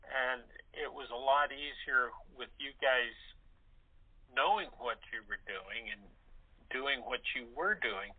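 A man speaking in a halting, plain-spoken way as an interviewed director. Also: mid-range voice, slightly rough. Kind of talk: casual interview chat. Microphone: telephone or internet call. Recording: thin telephone-like sound.

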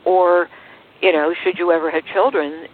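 A woman hosting an interview show in a conversational style, with emphatic, deliberate phrasing, over a narrow radio-like connection. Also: lowish voice, steady tone. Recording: narrow radio-like sound, faint noise.

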